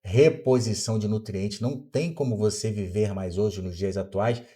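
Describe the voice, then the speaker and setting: A Brazilian man in his fifties speaks as a podcast guest, with a somewhat low voice. His delivery is didactic, and he often asks a question aloud and then answers it, the way a doctor explains things to a patient. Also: normal speed, mellow. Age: 50s. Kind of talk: explanatory interview talk.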